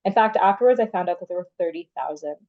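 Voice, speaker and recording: A woman's voice: fairly low pitch, lively intonation, quick pace, highs cut off. A young woman from Canada, the guest in a casual interview, talking in a chatty way with many "like" fillers. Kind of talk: casual conversation.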